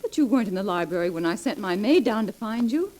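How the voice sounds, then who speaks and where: An actress playing a possessive, jealous young wife with pleading, theatrical delivery in a 1940s radio melodrama. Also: female voice, medium pitch, animated, fast, darkish tone, lightly acted. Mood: clinging, tender. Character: possessive, frightened young wife feigning blindness, clinging and jealous. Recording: vintage 1940s radio recording.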